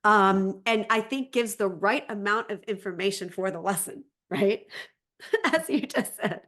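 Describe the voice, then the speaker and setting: A woman's voice: mid-range voice, expressive, measured pace, fairly bright tone. A woman speaking as a language teacher and interview guest, explaining conversationally and often circling back over her phrasing.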